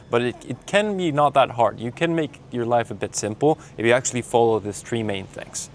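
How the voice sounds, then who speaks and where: A man's voice: mid-range voice, slight background noise. A young man with a Mexican Spanish accent and Spanish as his first language, giving a blunt, motivational talk to his camera while traveling. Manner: blunt, pep-talk delivery, calling the listener man and bro. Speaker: sales coach. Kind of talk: motivational vlog monologue. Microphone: handheld camera filming himself.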